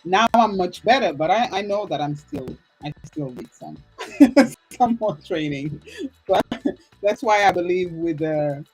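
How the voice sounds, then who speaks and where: Woman, Portuguese accent, podcast host, second-language English, laughs a lot, slightly breathy, faint music. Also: lowish voice, expressive, measured pace, darkish tone, poor audio. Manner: laughs often, searches for words. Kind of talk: casual interview chat.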